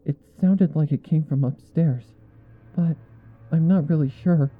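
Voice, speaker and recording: A man's voice: on the high side, a little slow, narrow, tinny audio, soft background music, pitched above the performer's own voice. A man voicing a timid, hesitant young female pegasus pony in a fan fiction reading, meek in manner.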